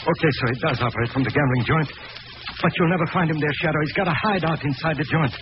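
An actor playing a frightened, pleading small-time gangster with a stammering delivery in a 1940s radio crime drama. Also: male voice, a little high, quick pace, lightly acted. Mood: nervous, pleading. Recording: noisy, muffled vintage radio broadcast recording.

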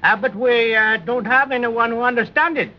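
Courteous, mild attendant, high male pitch, deferential, dull vintage radio recording. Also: steady tone, moderate pace, slight background noise. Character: polite, deferential local helper, mild and courteous. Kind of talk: old-time radio drama acting.

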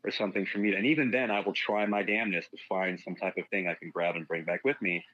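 A man with a fairly low voice talks through fitness in loose, rambling podcast chat. He is blunt and swears freely. The recording sounds a little dull and lo-fi. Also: steady tone, fast talker, fairly bright tone, no echo. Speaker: fitness guest.

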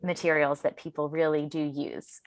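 Low-voiced woman asking interview questions, calm and measured, dead dry mic. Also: no room sound.

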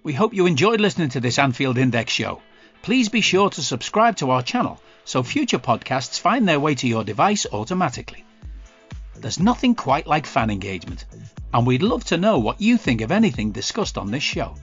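Guy doing a podcast outro read, really animated, bit muffled, music behind. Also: mid-range voice, brisk, husky. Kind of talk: scripted promotional narration. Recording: slightly dull audio over music.

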